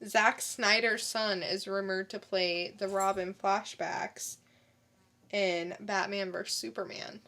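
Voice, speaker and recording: Female co-host, bright mid-range voice, slow-paced casual chat with short reactions and comments. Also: medium pitch, steady tone. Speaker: podcast co-host.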